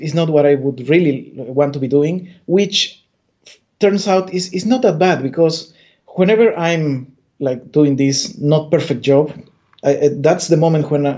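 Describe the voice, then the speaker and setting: A man's voice: fairly high pitch, warm tone. A man from Bolivia, a comic artist guest, talking in a rambling way with many restarts. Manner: rambling, stammers and restarts often. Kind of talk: casual interview chat. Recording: dull lo-fi audio.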